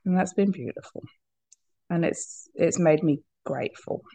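Podcast guest, female, husky from a cold, with a gravelly edge; open, laughing, halting restarts. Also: fairly low pitch, mellow, no room sound. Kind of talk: candid personal conversation.

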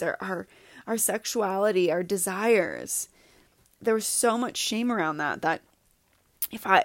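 A woman's voice: mid-range voice, creaky, no room sound, clean studio sound. A woman in her thirties hosting a podcast, speaking candidly and confessionally in a reflective personal monologue. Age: 30s. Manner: candid and confessional, with laughs and repetitions. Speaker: podcast host.

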